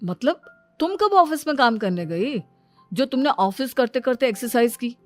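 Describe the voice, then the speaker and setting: A woman's voice: on the high side, animated, moderate pace, dry recording, soft background music, lightly acted. A woman voicing a puzzled, admiring young husband in a story, casual and full of questions. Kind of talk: acted moral-story dialogue.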